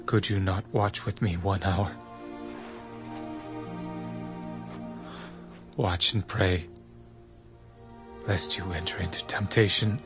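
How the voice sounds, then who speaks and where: Grave, commanding holy teacher, earnest audio-drama delivery, music clearly underneath. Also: male voice, sits low. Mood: grave reproach. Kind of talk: dramatized audio Bible acting.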